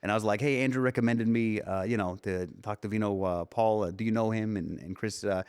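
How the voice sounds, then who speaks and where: A man's voice: fairly low pitch, steady tone, a little fast, a little mellow, clean tone. A man hosting a podcast, chatting casually and informally in a friendly way with his guest. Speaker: podcast host. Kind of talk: casual interview chat.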